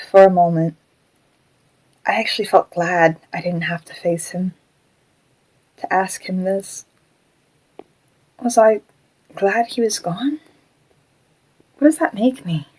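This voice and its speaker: A woman playing a gentle, troubled animatronic fox who was once human, speaking hesitantly and reflectively in an audio drama. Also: mid-range voice, rough recording.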